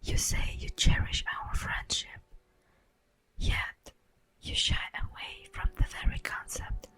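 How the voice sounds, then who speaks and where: A woman playing an ancient, wistful immortal elf in a slow, breathy whispered ASMR roleplay monologue. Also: fairly bright tone. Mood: pleading. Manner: slow, theatrical, lingering pauses. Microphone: close microphone. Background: faint music.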